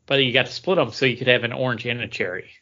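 A man's voice: mid-range voice, steady tone, rapid-fire, pushed and bright. A man chatting casually as a podcast co-host, playful and rambling in the way he talks. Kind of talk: casual chat. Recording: dull lo-fi audio.

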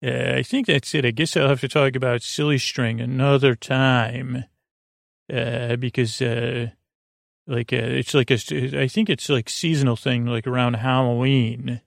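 Male podcast host, gravelly and dry-sounding, wandering haltingly through sleep-aid monologue. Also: medium pitch, level tone, no echo. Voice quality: creaky, gravelly. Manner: meandering, halting, often trailing off mid-thought. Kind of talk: rambling bedtime monologue to help listeners sleep.

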